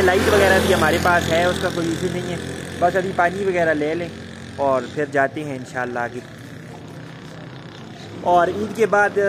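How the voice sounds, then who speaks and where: A young man talks straight to his viewers in a relaxed, chatty travel-vlog way, his voice sitting a little high. He holds the camera at arm's length, and loud outdoor noise from the road and open air runs under his words. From Pakistan. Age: young adult. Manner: chatty, addresses viewers directly. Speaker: vlogger. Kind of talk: casual travel vlog narration. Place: outdoors, in a car and on a street and riverbank. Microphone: phone or camera at arm's length. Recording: noisy outdoor phone recording.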